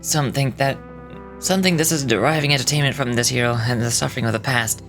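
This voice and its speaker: A woman's voice: a bass voice, brisk, a bit bright, soft background music, pitched below the performer's own voice. A woman voicing a proud, earnest male hound officer in a video game, reading his dialogue in a solemn, formal, storytelling manner. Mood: reflective, wistful.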